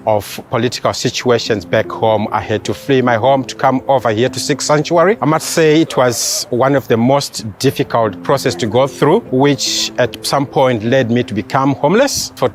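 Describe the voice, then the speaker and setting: A man's voice: medium pitch, moderate pace, hardly any pauses. A man from Zimbabwe, a student giving a heartfelt personal testimony. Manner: earnest, heartfelt. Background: soft music bed.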